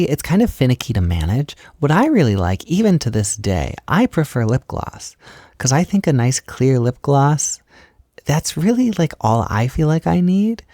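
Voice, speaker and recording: A gentle, encouraging boyfriend figure, played by a man in an intimate audio roleplay. His soft voice is relaxed and mellow with a noticeable creak, often easing toward a whisper. He phrases things casually and soothingly, in a very dry, close recording. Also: mid-range voice, no echo. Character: gentle, reassuring male partner doing a beginner's makeup. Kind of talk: intimate ASMR-style roleplay, softly spoken.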